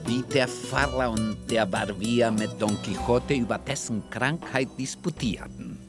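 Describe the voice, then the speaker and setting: A man's voice: mid-range voice, a little slow. A man giving a formal scripted reading of old literary text in a radio play. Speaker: reader of dedication and chapter titles. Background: instrumental music.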